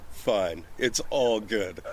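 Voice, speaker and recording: A man's voice: lowish voice, very slow, noisy background. A man talking casually and emphatically as a podcast guest, opinionated, prone to digressions and swearing. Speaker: podcast guest, film director. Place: outdoors on a porch.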